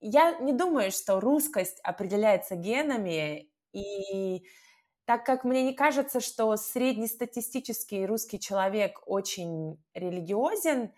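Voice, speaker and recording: A woman's voice: medium pitch, expressive, a little slow, darkish tone. A woman speaking as a podcast guest in a casual interview, thoughtful and a bit hesitant, laughing easily as she answers.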